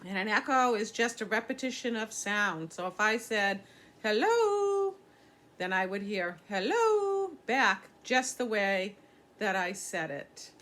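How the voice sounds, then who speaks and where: A woman's voice: mid-range voice, a little slow, bright, forceful tone. An older woman reading a children's story aloud, explaining things simply as a teacher would to young listeners.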